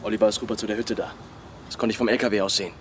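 An actor playing a brash, shifty young trucker in a German radio crime drama, talking brusquely in pushy slang. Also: male voice, on the low side, fairly even intonation, racing, very noisy, natural voice. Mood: insistent. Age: young adult.

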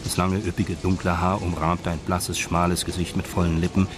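A man's voice: low voice, little pitch movement, moderate pace, over music. A man delivering scripted narration for a radio crime drama.

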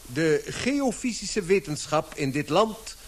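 A man's voice: a little high, sing-song, takes it slowly, husky, full character voice. A man acting a formal, courteous but firm British society chairman in a radio play, speaking in an oratorical manner. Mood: solemn, ceremonial.

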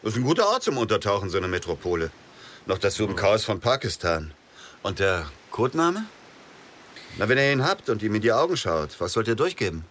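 A man's voice: on the low side, sing-song, fairly quick, a bit bright, slight background noise. A man playing a curious, wry intelligence man in a radio drama, full of probing questions. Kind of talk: naturalistic radio drama dialogue.

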